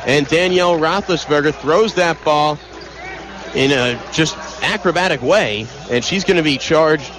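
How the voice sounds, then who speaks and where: A man with an American accent calling live radio basketball play-by-play from an arena, energetic and detailed, getting excitable on big plays. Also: a little high, a little slow. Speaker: play-by-play sports broadcaster.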